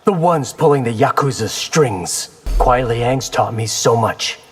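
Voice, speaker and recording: An actor playing a cocky, determined young warrior in a video game, casual and wry in delivery. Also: male voice, mid-range voice, unhurried, breathy edge, soft background music. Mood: confident. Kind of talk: video game character dialogue.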